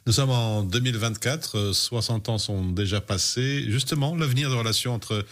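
A man hosting an interview, asking measured questions. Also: mid-range voice.

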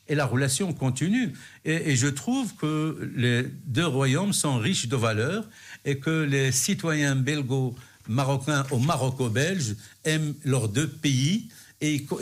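A middle-aged man from Morocco, answering interview questions in a hesitant way, with many fillers and restarts. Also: on the high side. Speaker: guest.

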